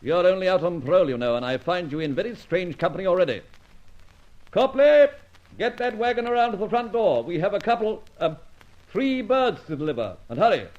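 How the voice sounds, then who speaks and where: An actor playing a brisk, commanding police inspector with mocking sarcasm, in old radio detective drama style. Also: male voice, high-pitched, animated, a little mellow. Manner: barking orders, sarcastic, theatrical. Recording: dull lo-fi old radio recording.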